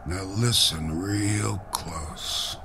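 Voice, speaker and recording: An actor playing a gruff male fight promoter in an old radio drama, curt and mocking. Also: low voice, extremely slow, heavily acted. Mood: threatening. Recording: old radio drama recording with faint noise.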